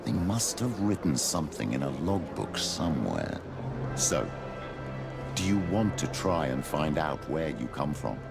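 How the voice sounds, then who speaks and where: An actor playing a grave male companion in a game, speaking in a measured, solemn way. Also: extremely low pitch, very animated, creaky, heavily acted. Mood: calm. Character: gruff male companion, adult, steady and grave. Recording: game music under voice.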